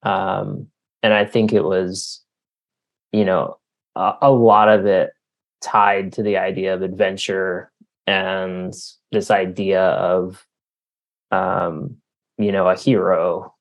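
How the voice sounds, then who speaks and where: Slow-talking, low-voiced man with creaky fry. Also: flat delivery, dull audio. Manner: halting, thoughtful, searching for words with long hesitations. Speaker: author being interviewed. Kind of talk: casual reflective interview talk.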